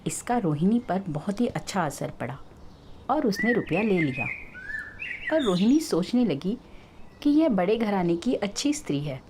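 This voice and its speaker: A woman's voice: mid-range voice, sing-song, a little slow, background noise. A woman storyteller narrating a scripted tale.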